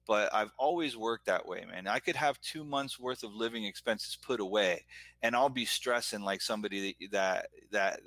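A man's voice: on the low side, fairly bright tone, dry recording. A middle-aged man with an American accent, talking earnestly and at length about himself in a casual conversation. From Florida, United States. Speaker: guest, entrepreneur and business owner. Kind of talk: casual chat, personal reflection.

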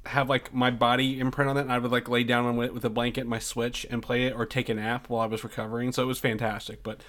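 A man's voice: medium pitch, flat delivery, fast talker, fairly bright tone. A man co-hosting a casual podcast, congested from the flu, rambling with lots of fillers and restarts. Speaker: podcast co-host.

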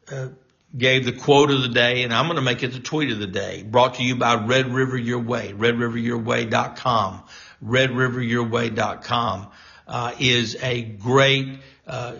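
A man with a bright, forceful voice talks like a pastor to his live-stream audience, starting over and filling gaps with "uh" as he goes. He sounds encouraging and a little preachy. The sound is dull and lo-fi. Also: mid-range voice, steady tone, creaky. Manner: halting, many fillers and restarts. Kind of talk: casual live-stream talk.

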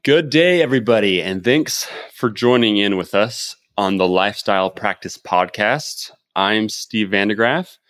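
A man's voice: on the low side, a little slow, hardly any pauses, a bit bright, dry recording, clean studio sound, soft background music. A man hosting a podcast, chatting and summing up advice in an upbeat coaching tone. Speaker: podcast host. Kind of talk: casual podcast chat and summary.

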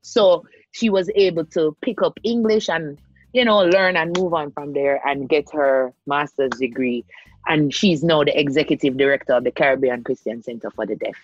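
Jamaican woman, low, dry close sound, emphatic casual talker. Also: moderate pace, no echo, lo-fi sound. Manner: earnest, emphatic, repeats key phrases. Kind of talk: casual chat.

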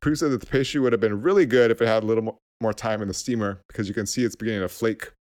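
A man's voice: lowish voice, very fast, a little mellow. A man co-hosting a podcast, recapping a show in casual chat and laughing easily. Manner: laughs easily, reads out summaries. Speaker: podcast co-host.

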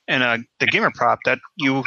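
A man's voice: medium pitch, brisk, vocal fry, sharp tone. A man co-hosting a podcast interview, asking questions casually over a lo-fi connection. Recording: dull lo-fi sound, faint noise.

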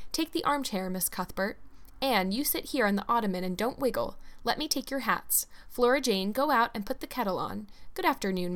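A woman's voice: medium pitch, fairly bright tone, natural voice. A woman reading aloud, voicing a bustling chatty middle-aged woman who fusses over her guests. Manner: fussy, quick to arrange everyone.

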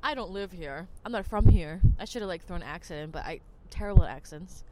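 A woman's voice: fairly low pitch, animated, a little fast, heavy background noise. A woman from New Jersey, a podcast host telling rambling stories in casual chat. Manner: chatty, rambling, lots of "like". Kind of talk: casual storytelling monologue.

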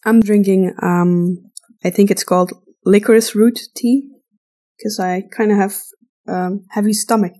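A woman's voice: medium pitch, fairly even intonation, a little slow, warm tone. Woman co-hosting a casual podcast, mid-range voice. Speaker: podcast co-host reading listener questions. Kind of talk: casual unscripted podcast chat.

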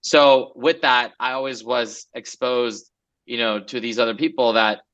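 Bright-voiced man, relaxed rambling talk. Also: medium pitch, measured pace, lo-fi sound. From Los Angeles. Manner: relaxed, rambling, many fillers. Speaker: guest entrepreneur. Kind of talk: casual interview chat.

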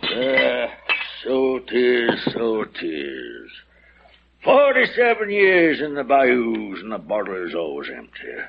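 An actor playing a drunken old bayou preacher, declaiming scripture slowly with a slurred, gravelly delivery in an old radio drama. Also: male voice, medium pitch, huge pitch swings, full character voice. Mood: drunken, mournful. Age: older. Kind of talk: 1940s-50s radio drama acting. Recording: muffled noisy old radio recording.

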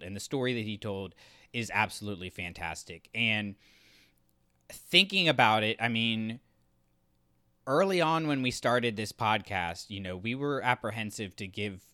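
A man's voice: lowish voice, pushed and bright, smooth, dry recording. A man co-hosting a podcast, giving enthusiastic, conversational opinions in a casual review discussion. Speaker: podcast co-host.

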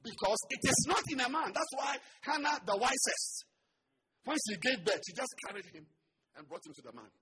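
A man with a Ghanaian accent preaching a sermon as a pastor. He swings from quiet, joking asides to loud shouts. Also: very high voice, very animated, moderate pace, a little hiss or hum.

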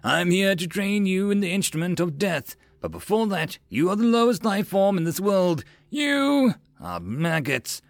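A man's voice: high-pitched, sing-song, measured pace, few pauses, no room sound, pitched above the performer's own voice, heavily acted. A man voicing a bullying, sarcastic military drill instructor in an audiobook, barking and sneering theatrical insults. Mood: menacing.

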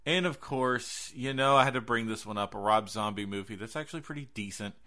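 A man's voice: fairly low pitch, brisk, bright, forceful tone. An American man hosting a horror podcast, casually chatting through movie recommendations.

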